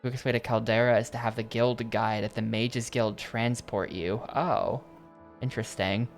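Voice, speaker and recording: Give me a chatty man streaming a game, rambling along at a middling pitch over faint music. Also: fairly even intonation, moderate pace, vocal fry. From United States. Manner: rambling, chatty, reads game text aloud. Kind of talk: casual gameplay commentary.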